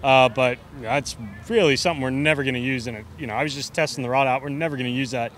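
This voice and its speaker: A man's voice: mid-range voice, quick pace, fairly bright tone. Blunt angler in his thirties. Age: 30s. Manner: blunt, dismissive. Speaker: professional angler. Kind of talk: interview answers. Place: outdoors by boats. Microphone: handheld mic held by interviewer. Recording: faint outdoor noise.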